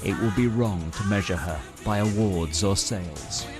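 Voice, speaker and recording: A man's voice: low voice, normal speed. A British man narrating a scripted television news report as its reporter. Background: music.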